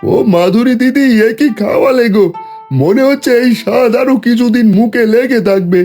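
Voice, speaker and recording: A man's voice: extremely high pitch, moderate pace, darkish tone. A man voicing a hungry, chatty village customer in a cartoon dub. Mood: delighted. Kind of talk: Bengali cartoon dubbing. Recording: music behind the voice.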